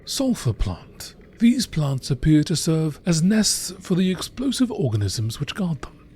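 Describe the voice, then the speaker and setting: A man reading scripted narration in a nature documentary style, in a measured, informative manner. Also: on the high side, dark tone, a little hiss or hum.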